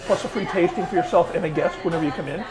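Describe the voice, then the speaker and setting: A middle-aged man, a winery owner, answering interview questions in a relaxed, chatty way with many small pauses, on a lapel mic in an indoor tasting room. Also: on the high side. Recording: lapel mic with busy crowd noise behind. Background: crowd chatter.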